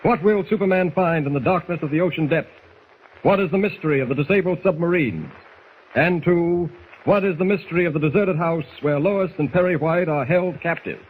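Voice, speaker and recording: A man gives grave, suspenseful narration for a vintage radio drama. His voice is high. The old recording is tinny and narrow, with faint noise. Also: a little fast. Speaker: radio serial announcer-narrator. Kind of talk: dramatic 1940s scripted narration.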